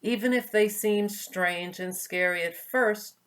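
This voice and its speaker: A gentle mother raccoon from a children's storybook, played by a man, with a raised pitch and slow delivery. She sounds soothing and tender as she reassures her child. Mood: gentle reassurance. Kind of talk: children's storybook read-aloud.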